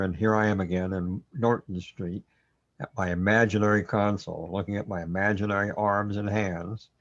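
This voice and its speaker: A man playing a confused, paranoid, brooding man who lives inside a computer, with a husky voice, in an audio drama. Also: on the low side, dry recording. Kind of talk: audio drama monologue and dialogue. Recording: dull, lo-fi, dry close recording.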